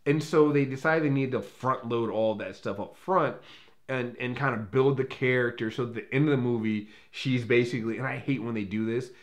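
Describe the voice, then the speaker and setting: A man's voice: medium pitch, a little fast. Opinionated man giving a casual film review. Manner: opinionated, emphatic; profane. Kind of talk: casual unscripted review commentary.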